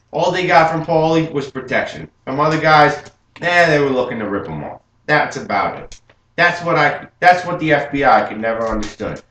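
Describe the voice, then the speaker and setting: A young man playing an adult gangster who proudly recalls his youth, telling it earnestly and rising with excitement, as a memorized film monologue. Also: on the high side, pitched above the performer's own voice. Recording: roomy room with faint hum.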